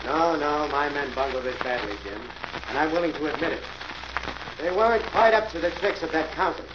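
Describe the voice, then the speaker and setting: A man's voice: on the high side, brisk, natural voice. An actor playing an earnest, reassuring male police inspector in a 1940s radio drama. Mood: concerned. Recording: muffled, noisy old radio recording.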